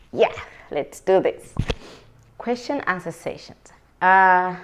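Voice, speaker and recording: A woman from Uganda, chatting casually to camera as she answers viewer questions, rambling with many fillers. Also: sits low. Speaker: vlogger.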